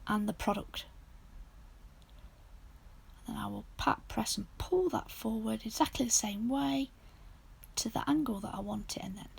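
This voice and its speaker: A woman with a croaky, sore-throated voice, softly narrating a nail art tutorial as the channel's host. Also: on the high side. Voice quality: husky, gravelly voice. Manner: soft, half-whispered step-by-step narration.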